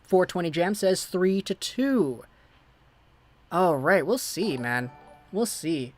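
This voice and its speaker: A man's voice: sits high, expressive, takes it slowly, faint music behind. A young man hosting a casual hockey livestream, chatting loosely as he reads viewer messages aloud and thanks subscribers. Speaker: livestream host. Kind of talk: casual live chat, reading viewer messages.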